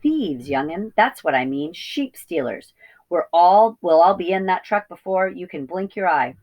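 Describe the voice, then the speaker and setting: A woman's voice: fairly low pitch, lively intonation, measured pace, dull audio. A woman voicing a blunt old female sheep with a rustic, folksy way of talking in a storybook. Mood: grim, resigned. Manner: rustic, folksy, plain-spoken.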